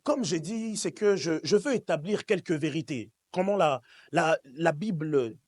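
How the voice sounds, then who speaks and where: A man's voice: on the high side, dry recording. A man from Switzerland preaching a sermon, emphatic and repetitive, addressing his congregation directly. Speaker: pastor, preacher. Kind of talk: sermon with call and response. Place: church service, speaking to congregation.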